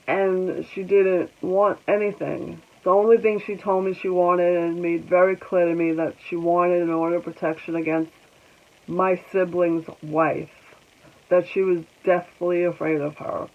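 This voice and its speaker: A woman in her forties speaking slowly in a personal vlog, congested, halting, and pausing often. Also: on the low side, narrow, tinny audio. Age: 40s. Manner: halting, reflective, with many pauses and ums. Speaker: vlogger. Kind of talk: personal vlog talking to viewers.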